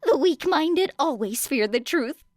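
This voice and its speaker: A woman's voice: high-pitched, animated, a little slow, a little mellow, no room sound, studio quality, pitched above the performer's own voice. A woman voicing an eccentric, gleeful teenage girl inventor in an audiobook, breezy and teasing. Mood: smug.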